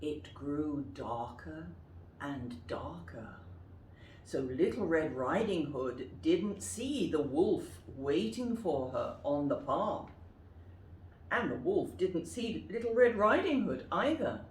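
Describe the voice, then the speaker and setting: A woman reads a story aloud for children, taking her time. Her lowish voice swings widely in pitch for drama. She is recorded in a roomy space at some distance from the mic. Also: a little hiss or hum. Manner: expressive, deliberate, dramatic. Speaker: storyteller, teacher.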